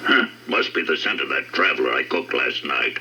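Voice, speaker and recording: An actor playing a huge, hungry, menacing male ogre with a deep gravelly growl, on a storybook record. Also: animated, moderate pace, bright, forceful tone, a little hiss or hum, full character voice. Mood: gloating. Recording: dull lo-fi record with music from a distance.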